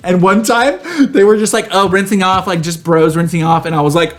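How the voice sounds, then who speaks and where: A young adult podcast co-host telling stories in casual, comedic chat, animated, sassy and full of slang. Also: mid-range voice, normal speed, a crowd in the background.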